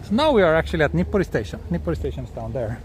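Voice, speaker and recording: A man from Sweden, speaking English as a second language, hosts a relaxed live walking stream outdoors and chats with viewers as he goes. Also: fairly high pitch, rapid-fire, slight background noise. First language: Swedish. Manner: relaxed, answers viewer chat while walking. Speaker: vlogger walking through a city. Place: outdoors on city streets.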